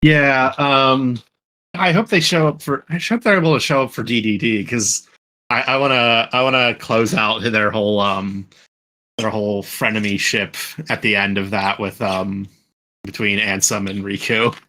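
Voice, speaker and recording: Bright-voiced man thinking aloud. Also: fairly low pitch, fairly even intonation, normal speed. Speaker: podcast panelist and fan voice actor. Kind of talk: casual group podcast chat.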